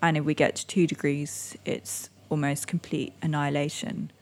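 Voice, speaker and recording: A young woman who grew up in Indonesia, answering interview questions in a reflective way with many pauses and soft laughs. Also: sits low, little pitch movement. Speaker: interviewee, climate activist. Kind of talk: conversational interview.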